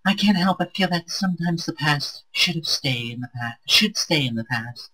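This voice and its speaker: A man's voice: fairly high pitch, animated, normal speed, bright, forceful tone, breathy edge, pitched above the performer's own voice, full character voice, poor audio. A man playing a weary, reluctant male space captain in a visual novel read-aloud. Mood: wistful.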